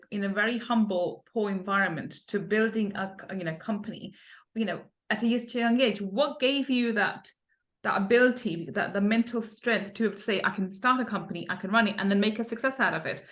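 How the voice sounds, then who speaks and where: A woman's voice: mid-range voice, moderate pace, fairly bright tone. A woman hosting a podcast interview, effusive and upbeat, often laughing as she introduces and questions her guest. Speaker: podcast host.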